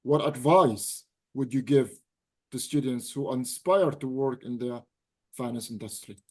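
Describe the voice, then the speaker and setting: A man acting as professor and host, introducing a guest with halting uhs. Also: mid-range voice, a little mellow. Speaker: professor hosting. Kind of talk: hosting a class talk.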